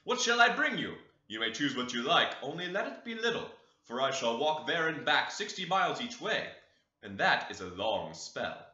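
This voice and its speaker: A gruff, hearty old father from a storybook put on by a male reader. He speaks loudly and emphatically with big pitch swings, very bright, in a roomy echo. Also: big pitch swings, normal speed. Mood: fond, hearty. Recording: roomy, echoey, slightly dull sound.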